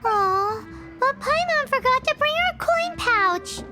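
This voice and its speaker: Tiny squeaky fairy girl for a game, super high, bouncy delivery, music behind. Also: animated, takes it slowly, heavily acted. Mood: chirpy, curious. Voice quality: squeaky childlike. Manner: speaks of herself in third person, exclaiming. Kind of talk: video game character voice acting.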